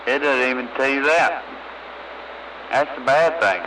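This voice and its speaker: Very slow-talking man on CB radio. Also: medium pitch. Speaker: CB radio operator. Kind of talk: casual chat over CB radio. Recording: noisy CB radio transmission.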